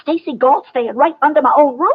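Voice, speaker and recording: An actress playing an alarmed, scolding older boardinghouse matron in a radio western, melodramatic and indignant, with a raspy voice and an American accent. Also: female voice, high voice, a little fast, natural voice. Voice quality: cracked, raspy. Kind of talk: 1950s radio western acting. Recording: dull vintage radio recording.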